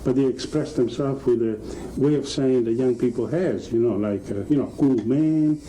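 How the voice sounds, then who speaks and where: Mellow, halting man. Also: medium pitch, a little hiss or hum, low-quality recording. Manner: halting, repetitive. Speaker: documentary interviewee. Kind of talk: unscripted interview talk.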